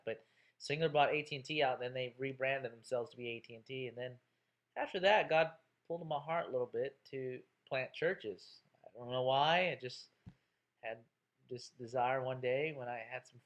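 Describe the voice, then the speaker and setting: A man's voice: medium pitch, a bit bright. A man in his thirties, a college instructor introducing himself to his class in a chatty, joking way with lots of fillers. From Texas, USA.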